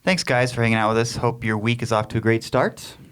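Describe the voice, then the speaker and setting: A man with a medium-pitched voice hosts a podcast. He is conversational and casual, speaking close to a studio microphone. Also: fairly even intonation, fairly quick, clear, resonant tone. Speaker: podcast host. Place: small wood-panelled podcast room. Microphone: close studio mic on boom arm.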